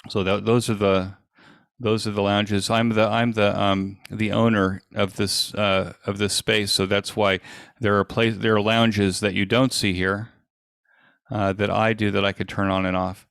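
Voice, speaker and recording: A man giving an informal live software demo as an event presenter, explaining things in a halting, stop-and-start way on an online video call. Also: on the low side, little pitch movement, vocal fry, smooth, no echo, clean studio sound.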